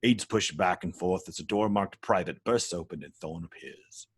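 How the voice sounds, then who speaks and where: A man's voice: low voice, moderate pace, husky, no room sound, studio quality. A man reading stage directions as the narrator of a script table read.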